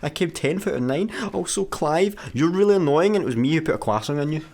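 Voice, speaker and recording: An actor with a Scottish accent playing a hapless, easily panicked young space crewmate who rambles in a comic radio play. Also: male voice, a little high, expressive, quick pace, darkish tone. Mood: rambling confession. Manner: rambling, flustered, blurting confessions.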